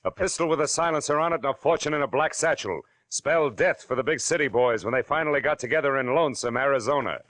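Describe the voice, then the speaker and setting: An American actor playing a wry, sardonic private detective in clipped 1940s radio noir style, on a vintage broadcast recording. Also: male voice, medium pitch, fast talker, few pauses, fairly bright tone, natural voice. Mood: dramatic, punchy. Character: wry, hard-boiled male private detective. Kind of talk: 1940s radio noir first-person narration and acting.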